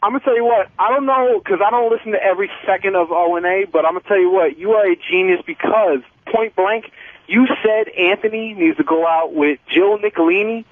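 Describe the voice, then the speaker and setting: A man's voice: high-pitched, a little fast, dry recording. An American man calling in to a radio show, casual, emphatic, profane and repetitive. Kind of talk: casual call-in talk. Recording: thin tinny phone line.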